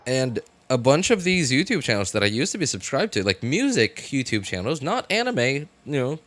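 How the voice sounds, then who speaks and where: A young man doing casual livestream commentary on a game, rambling and halting as he repeats himself. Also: mid-range voice, big pitch swings, a bit bright. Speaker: game streamer.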